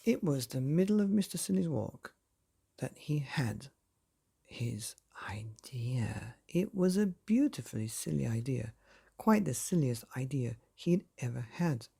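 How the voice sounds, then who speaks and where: A man narrating a children's bedtime storybook in a playful, expressive way, often putting questions to the listener. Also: mid-range voice, unhurried, gravelly, husky.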